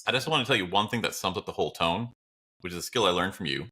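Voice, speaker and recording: A man's voice: low-pitched, fast talker, pushed and bright, slightly rough. A man co-hosting a podcast, chatting casually and philosophically in a blunt, rambling, opinionated way. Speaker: podcast co-host.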